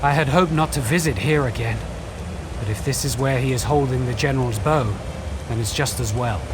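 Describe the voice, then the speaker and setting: A man playing an earnest, dutiful adventuring guide in a fantasy game, explaining things in a measured way. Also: medium pitch, background noise, close to an everyday voice. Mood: earnest, resolute. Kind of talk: fantasy game quest dialogue.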